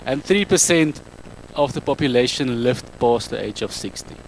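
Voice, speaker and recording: A man with an Afrikaans accent addresses a live audience on a handheld mic. His English is halting, and he often corrects himself and pauses on an um. His voice sits at a middle pitch, with a little faint noise behind it. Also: expressive, poor audio. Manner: halting, self-correcting non-native English with frequent ums. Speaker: speaker at an evening talk. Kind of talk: public talk to a live audience.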